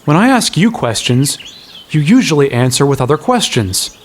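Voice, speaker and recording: An actor playing an inquisitive, cheeky young apprentice in an audio drama, questioning and impatient. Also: male voice, fairly high pitch, big pitch swings, moderate pace, a little hiss or hum. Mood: curious, frustrated.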